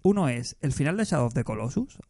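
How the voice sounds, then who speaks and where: A man's voice: mid-range voice, sing-song, fast, darkish tone. A man, talking in a casual chat, reflective and full of restarts. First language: Spanish. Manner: reflective, restarts often, emotional recalling. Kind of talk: casual chat about video games.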